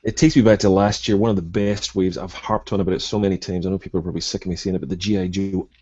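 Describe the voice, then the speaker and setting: A man's voice: deep voice, level tone, rapid-fire, warm tone, no echo. A man co-hosting a collecting podcast in casual chat, agreeable and enthusiastic about details. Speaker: co-host of a toy collecting podcast. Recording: dull, muffled lo-fi audio.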